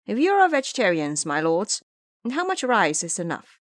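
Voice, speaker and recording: A woman's voice: mid-range voice, big pitch swings, normal speed, dry recording, studio quality, natural voice. A woman voicing a frightened, obsequious poor male monk who pleads, in an audio drama. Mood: awed, cautious. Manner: pleading, explanatory.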